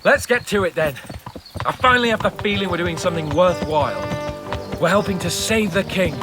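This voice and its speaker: A man's voice: sits high, fairly quick, music in the background, natural voice. A man playing a brash, eager young medieval nobleman in a game cutscene, breezy and impatient. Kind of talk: video game cutscene acting.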